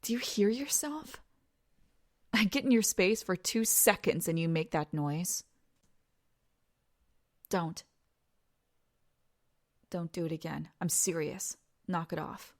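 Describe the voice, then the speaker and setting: Woman acting a creaky, teasing, domineering young woman. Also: on the low side, slow, long pauses. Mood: flustered, stern. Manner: breathy, often dropping to whispers, with sighs. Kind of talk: intimate audio roleplay, second-person dramatic monologue.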